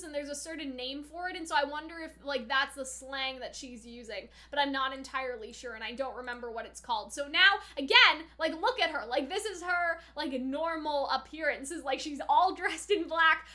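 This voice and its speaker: Bright, high-pitched young woman chatting casually. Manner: chatty, informal, often saying "like". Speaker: reaction video host. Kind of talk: casual anime reaction commentary with read-out subtitles.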